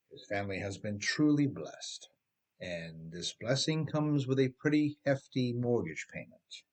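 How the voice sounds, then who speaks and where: A middle-aged man narrates a written opinion piece at an unhurried pace. His pitch sits in the middle, and he talks straight to the listener in a folksy, conversational way, often posing rhetorical questions. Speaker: author reading own essay aloud.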